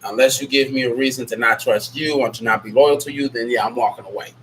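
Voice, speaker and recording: A man's voice: medium pitch, fairly even intonation, quick pace, a bit bright. A man hosting a casual live Q&A, sick with a stuffy nose and scratchy throat, talking in a rambling, blunt way. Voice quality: nasal. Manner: rambling, candid and blunt, reads out chat names. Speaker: livestream host.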